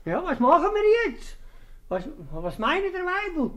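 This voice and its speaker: An actor playing an officious, stammering old magistrate with a Swiss German accent in a 1950s radio comedy. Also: male voice, extremely high pitch, huge pitch swings, measured pace, a bit bright, heavily acted. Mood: flustered. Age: older. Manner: stammering, pompous. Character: flustered, officious old magistrate, easily led.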